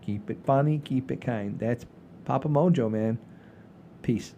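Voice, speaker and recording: A man's voice: unhurried, dark tone. A man co-hosting a comedy show, chatting casually to the audience in a rambling way and often repeating his phrases. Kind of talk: casual chat, addressing the audience. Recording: low rumbling background noise.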